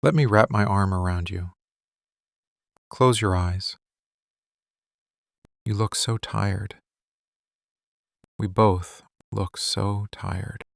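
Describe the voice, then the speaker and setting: A man playing a possessive, tender mafia boss in a boyfriend ASMR roleplay, speaking soothingly and deliberately in short, hushed phrases. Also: fairly low pitch, steady tone, vocal fry, no echo, clean studio sound, exaggerated voice. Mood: tender, vulnerable. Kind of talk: boyfriend ASMR roleplay, slow intimate monologue.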